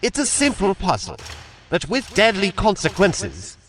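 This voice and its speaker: A man's voice: high-pitched, huge pitch swings, unhurried, a bit bright, a little hiss or hum, pitched above the performer's own voice, heavily acted. A man voicing a chirpy goblin co-host who blithely explains deadly games in a fantasy audiobook. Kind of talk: dramatised audiobook narration of fantasy story.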